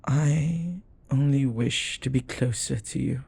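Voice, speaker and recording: Man acting a husky, possessive lovestruck demon. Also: mid-range voice, a little mellow, full character voice. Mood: yearning, surrendered. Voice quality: husky, breathy, often dropping to a whisper. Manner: slow, deliberate, dramatic pauses. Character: possessive lovestruck male demon, jealous, intense, devoted. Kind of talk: intimate audio roleplay acting.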